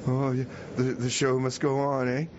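An actor playing an easygoing male radio host stuck in jail, halting, rambling and folksy in a live comedy show. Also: mid-range voice, monotone, a little mellow, close to an everyday voice. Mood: upbeat. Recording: muffled radio, noisy background.

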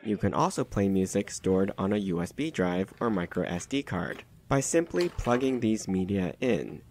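A man's voice: on the low side, lively intonation, normal speed, over music. A man reading scripted narration for a product review.

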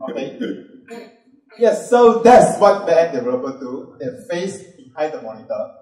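A Malaysian man in his thirties giving an upbeat conference talk and quizzing the audience with quick questions. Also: on the high side, sing-song, darkish tone. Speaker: conference speaker. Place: large dark auditorium with a stage. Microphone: handheld mic. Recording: roomy hall sound from a distant camera.

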